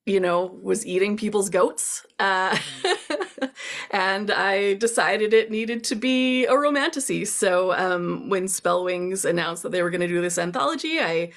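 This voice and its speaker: A woman author casually introducing her own work, chatty and a little halting as she goes. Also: mid-range voice, a bit bright.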